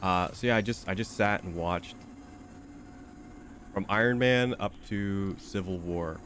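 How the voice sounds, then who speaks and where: A man's voice: deep voice, unhurried, fairly bright tone, a little hiss or hum. A young man with a stuffy, slightly nasal voice, chatting casually and rambling with lots of fillers while streaming a game. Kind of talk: casual chat while gaming.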